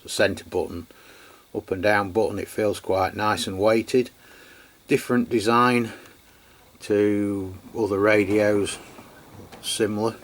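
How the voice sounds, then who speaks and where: A man giving a casual, unscripted hands-on review of equipment in a matter-of-fact way. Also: on the low side. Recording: clear background noise.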